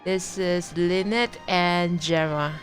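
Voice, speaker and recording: A woman's voice: fairly low pitch, fairly even intonation, slow. A woman chatting casually and a little hesitantly to viewers as she streams a video game. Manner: hesitant, repeats words, chats to viewers. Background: faint game music.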